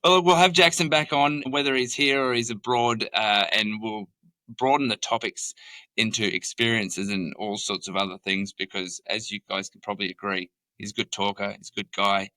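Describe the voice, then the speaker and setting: Male boss-host, lower pitch, bright tone, talks like a coach. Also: steady tone, normal speed, dry recording, rough recording. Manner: coaching, gives advice. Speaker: podcast host, company boss. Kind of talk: casual podcast chat.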